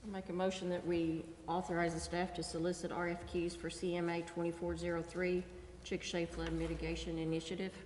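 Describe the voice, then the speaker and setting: A woman's voice: lowish voice, little pitch movement, fairly quick, few pauses, a little mellow. An American woman making formal motions as a city council member. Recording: echoey hall, faint noise.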